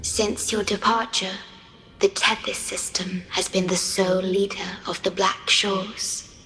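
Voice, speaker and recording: Game guardian woman: gentle, sorrowful, breathy yet bright, slow delivery in a roomy echo. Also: medium pitch, little pitch movement, faint music behind. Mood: solemn, wistful. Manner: soft, breathy, solemn and measured delivery. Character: gentle, sorrowful female guardian of an island, devoted and reserved. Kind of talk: video game character acting.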